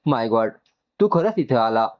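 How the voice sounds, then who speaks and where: A man voicing an excitable, chattery middle-aged mother in an audio storybook. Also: medium pitch, normal speed, muffled recording, lightly acted. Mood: excited.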